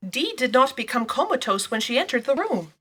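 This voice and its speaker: High, bright, literal-minded woman playing a sci-fi sidekick. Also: big pitch swings, brisk, breathy edge, full character voice. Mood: matter-of-fact. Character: literal-minded, unflappable female sidekick reporting scan results. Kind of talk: comic sci-fi audio drama acting.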